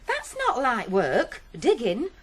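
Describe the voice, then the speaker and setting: Stern mother, high lively voice. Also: full character voice. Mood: nagging. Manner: brisk, scolding. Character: stern, nagging working-class mother and pie seller. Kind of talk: 1980s radio drama acting. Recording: noisy vintage radio play recording.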